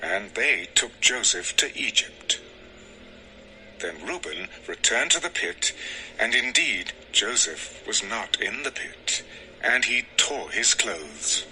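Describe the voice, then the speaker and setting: A man's voice: fairly low pitch, big pitch swings, slow pace, pushed and bright, slight background noise, low-quality recording. A man narrating a dramatized Bible story as a solemn, scripted storyteller. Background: music.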